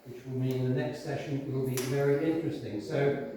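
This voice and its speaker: A man hosting a business networking event, introducing the next speaker in a relaxed, bantering way. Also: medium pitch, moderate pace, slight background noise. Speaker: event host. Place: meeting room with an audience. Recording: echoey room, voice from far off.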